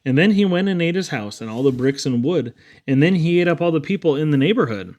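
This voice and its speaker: A man reading children's poetry aloud in an expressive, theatrical storytelling style with dramatic emphasis. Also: on the high side, fast talker. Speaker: reader.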